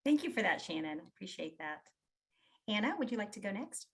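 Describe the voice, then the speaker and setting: A woman's voice: medium pitch, sing-song, brisk, a bit bright, breathy edge. A woman moderating an online panel, giving brief, courteous prompts to each speaker over a video call.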